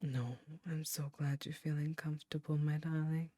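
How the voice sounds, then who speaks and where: A woman voicing an ancient succubus queen, tender and soothing, in a soft, breathy, intimate ASMR roleplay. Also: deep voice, steady tone, no echo, clean studio sound. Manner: slow, intimate, reassuring.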